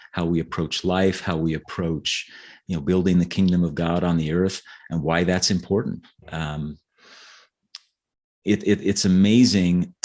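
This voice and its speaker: A man talking as an author in a conversational podcast interview, earnest and explanatory, often restarting his phrases. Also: low voice.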